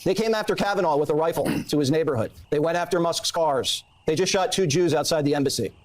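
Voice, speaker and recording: A man with a high voice speaks quickly and emphatically. He rallies his audience in an impassioned political address. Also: low-quality recording. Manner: emphatic, rallying.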